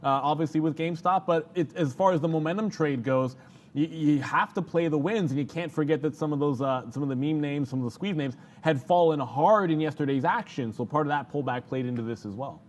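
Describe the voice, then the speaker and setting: A man's voice: a little high, a little fast. A man working as an analyst on a live trading show, explaining the market off the cuff in long, winding sentences. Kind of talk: unscripted analytical market commentary.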